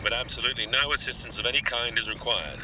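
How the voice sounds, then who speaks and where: An actor playing an aloof, forbidding scientist who speaks formally and stiffly in an old-time radio sci-fi play. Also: male voice, mid-range voice, fairly even intonation, fast, bright, forceful tone, a little hiss or hum, low-quality recording. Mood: warning. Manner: formal, stiff, insistent warnings. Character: aloof, forbidding male scientist, long-isolated castaway.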